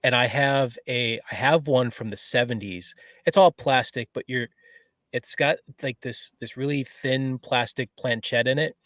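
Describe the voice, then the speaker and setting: A man on a podcast talks about investigating the paranormal. His voice is mid-pitched and recorded dry and close, with no room echo. He explains things patiently but in halting bits, repeating his words. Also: a bit bright. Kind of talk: casual chat.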